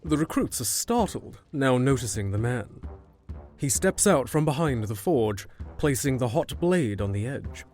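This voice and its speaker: A man's voice: medium pitch, lively intonation, measured pace, darkish tone. A man narrating a scripted fantasy audio drama in the present tense, as a storyteller. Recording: music under the voice.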